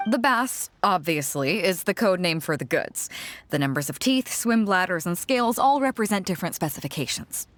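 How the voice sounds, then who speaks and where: A woman's voice: on the low side, expressive, normal speed, a bit bright, slight background noise. A woman playing a confident, easygoing pirate captain in a video game, assured and frank. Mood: explaining, confident. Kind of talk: video game character acting.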